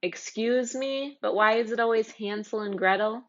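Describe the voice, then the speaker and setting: A woman's voice: fairly high pitch, moderate pace, muffled recording, natural voice. A woman voicing a bossy, outspoken but caring little girl in a storybook. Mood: indignant.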